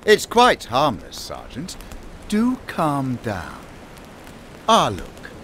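Man playing a slow, grandiose, menacing island lord. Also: medium pitch, huge pitch swings, fairly bright tone, slight background noise, heavily acted. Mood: suave, grandiose. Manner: grandiose, polite, drawn-out taunting delivery. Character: urbane menacing island lord, calmly mocking cult leader. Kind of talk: audio drama acting.

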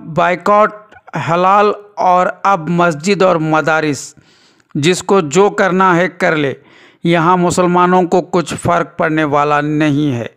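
A male news reporter with a high, clean and resonant voice. He reads scripted narration and commentary, using formal, rhetorical phrasing. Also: a bit bright, clean tone.

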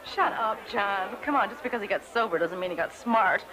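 An actress plays a middle-aged hostess, brisk and motherly, no-nonsense but kind. Her voice sits mid-range. Hiss runs under the old soundtrack. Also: mid-range voice, natural voice. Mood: friendly. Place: indoor meeting room.

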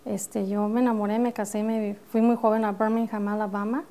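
A middle-aged Mexican woman, an architect and studio guest, telling her story with lively determination, with a northern Mexican accent touched by years in the US. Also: medium pitch, a little fast. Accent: northern Mexican Spanish, with some American influence. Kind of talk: TV studio interview. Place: TV studio set. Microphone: lapel.

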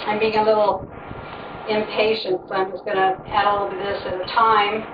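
A woman's voice: mid-range voice, level tone, brisk. A middle-aged woman hosting a home cooking video, chatting casually and making self-deprecating asides as she works. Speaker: home cooking show host. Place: home kitchen, indoors. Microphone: fixed camera across the room. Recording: echoey, distant, dull, noisy home video.

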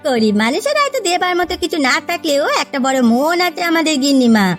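A woman's voice: sits high, big pitch swings, fast talker, soft background music. A woman playing a loyal, practical maidservant in a cartoon fairy tale, earnest and coaxing. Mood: devoted. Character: loyal, practical young maidservant, caring and encouraging.

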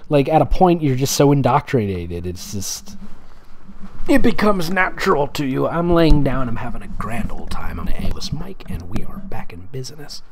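A man's voice: mid-range voice, expressive, moderate pace, background noise. A man reading amateur fan fiction aloud sarcastically, pointing out typos and breaking off for casual commentary.

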